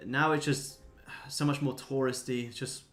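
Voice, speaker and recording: Man, twenties, livestream host, medium pitch, casual viewer chat, laid-back, blokey, sweary, music in the background. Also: fairly even intonation, normal speed, fairly bright tone. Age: 20s. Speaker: livestream host, day trader.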